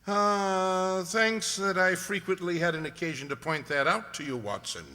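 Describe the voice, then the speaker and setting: Man as gentleman detective, slightly high bright voice, measured, polite, analytical, radio drama. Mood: dry. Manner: measured, polite, dryly authoritative. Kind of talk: amateur radio drama acting. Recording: long hall-like reverb.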